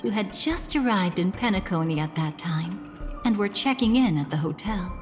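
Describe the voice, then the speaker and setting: An actress playing a composed, enigmatic woman who guides a listener through memories in a video game, speaking in a measured, cryptic way. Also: medium pitch, animated, close to an everyday voice. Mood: composed, mysterious. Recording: long reverb under clear music.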